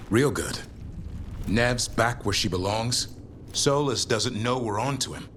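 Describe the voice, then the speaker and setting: A man's voice: medium pitch, lively intonation, unhurried, a bit bright, slight background noise. A man playing a confident, caring warrior comrade in a fantasy game, speaking in plain, clipped phrases. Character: gruff-but-caring male warrior comrade, confident hunter. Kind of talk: acted game dialogue. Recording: game audio with clear background ambience.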